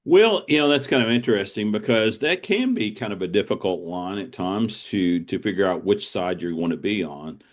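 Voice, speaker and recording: Middle-aged male author as interview guest, low voice, conversational and reflective, with a rambling explanatory style. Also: animated, normal speed, few pauses. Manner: discursive, circling back as he explains.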